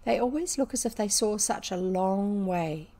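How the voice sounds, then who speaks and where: A woman's voice: mid-range voice, animated, normal speed, dark tone, lightly acted. A woman voicing a timid, admiring young schoolgirl in a storybook, hesitant and easily frightened.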